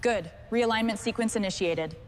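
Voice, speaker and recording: A woman's voice: a little high, moderate pace, slight background noise. A woman speaking as a garage mechanic, giving terse procedural call-outs in a businesslike, instructional way.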